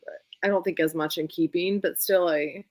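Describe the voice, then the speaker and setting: A woman with a slightly low voice talks books in a casual, expressive way. She is informal and emphatic, and the sound is dry, with very little room to it. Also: moderate pace, dry recording. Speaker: book show co-host. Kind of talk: casual book chat.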